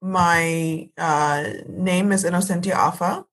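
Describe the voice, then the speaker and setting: A woman's voice: on the low side, steady tone, takes it slowly, a bit bright. A woman in her thirties from Ethiopia, telling her personal story as a podcast guest in a composed, articulate way.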